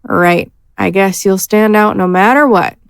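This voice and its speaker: A woman's voice: medium pitch, unhurried, creaky, smooth. A woman playing a gang underling who speaks frankly yet hesitantly to her boss. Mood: doubtful. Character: frank but deferential female gang underling.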